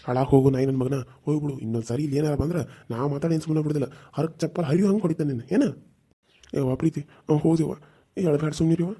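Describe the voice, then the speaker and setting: A man's voice: fairly high pitch, racing, dark tone, breathy edge. A man voicing a stern, protective older uncle who scolds and threatens in a North Karnataka accent. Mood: comforting. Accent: North Karnataka Kannada.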